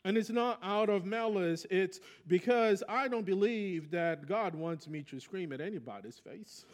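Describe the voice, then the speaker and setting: Pastor: man, Brazilian accent, native Portuguese, fairly high voice, conversational preaching with personal stories. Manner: reflective storytelling, conversational. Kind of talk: sermon.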